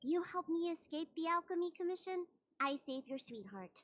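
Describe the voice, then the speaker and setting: A woman's voice: very high voice, expressive, moderate pace, a little hiss or hum. A woman voicing a spirited young girl healer in a video game, bossy in a caring, doctorly way. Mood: concerned.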